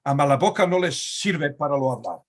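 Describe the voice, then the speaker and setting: A man's voice: on the high side, animated, brisk. A man hosting a video-call talk in Ladino, asking long, searching questions with many fillers and restarts. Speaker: host. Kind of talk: conversational interview in Ladino.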